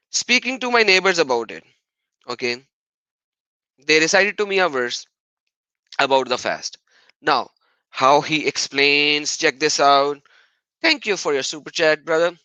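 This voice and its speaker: Animated man, bright forceful voice. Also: a little high, dry recording, rough recording. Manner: mocking, argumentative, repeats phrases for emphasis. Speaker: live stream host. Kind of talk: religious debate live stream commentary.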